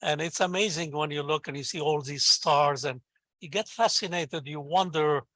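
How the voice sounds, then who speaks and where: An older man from Lebanon speaks English with a Lebanese accent as a native Arabic speaker. His voice is bright and a little high, captured dry and close. He tells his story with enthusiasm, like a professor encouraging people. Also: no echo, rough recording. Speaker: invited guest, professor and scientist. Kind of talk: conversational interview answer, storytelling.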